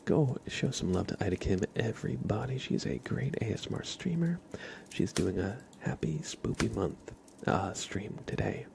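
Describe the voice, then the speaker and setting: A man chatting softly as an ASMR livestream host, hushed and often slipping toward a whisper. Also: on the low side, lively intonation, normal speed, vocal fry, background noise. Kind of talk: soft-spoken ASMR livestream chat.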